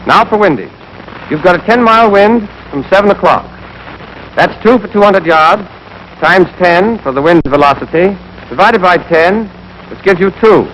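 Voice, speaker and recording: A man's voice: high voice, animated, moderate pace, fairly bright tone. A young American man giving scripted, clipped, step-by-step marksmanship instruction as an army instructor in a 1940s training film. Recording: noisy 1940s film soundtrack.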